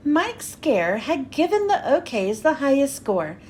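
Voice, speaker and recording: A woman reading a children's storybook aloud as a read-aloud host, with expressive, clearly phrased storytelling. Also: sits high, a little slow, slight background noise.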